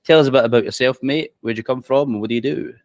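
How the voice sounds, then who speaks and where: A man's voice: medium pitch, quick pace, darkish tone, no echo. A man hosting a casual interview, friendly and chatty, often calling his guest "mate". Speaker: host.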